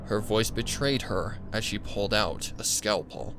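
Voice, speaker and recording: A man narrating a scripted horror story in a grave, measured, dramatic way. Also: on the low side. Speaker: fan fiction narrator. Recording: music bed behind the voice. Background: music.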